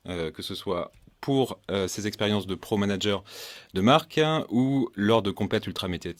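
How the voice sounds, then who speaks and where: A man hosting a podcast interview in a studio, chatty and upbeat, with plenty of fillers. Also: on the low side. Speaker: podcast host. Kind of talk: casual interview. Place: indoor studio with guests.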